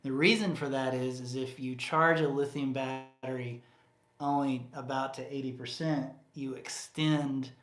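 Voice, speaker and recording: A man's voice: medium pitch, steady tone, a little slow, a bit bright. A man co-hosting a casual livestream Q&A, rambling and chatty with lots of fillers and restarts, his voice getting tired from talking. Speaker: co-host of a livestream Q&A. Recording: roomy echo.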